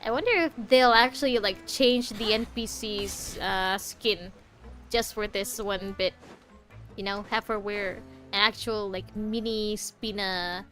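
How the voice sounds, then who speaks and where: A woman's voice: mid-range voice, fairly even intonation, measured pace, fairly bright tone, soft background music. A young adult woman streaming a game, chatting casually and reacting in a playful, teasing way. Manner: playful, teasing, mild swearing. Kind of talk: casual let's play commentary, reading along and reacting.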